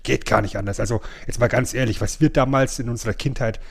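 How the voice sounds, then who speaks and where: A man's voice: medium pitch, steady tone, fast talker, gravelly, breathy edge. A middle-aged man co-hosting a podcast, chatting casually with a wry, self-deprecating manner. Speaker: podcast co-host. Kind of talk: casual chat.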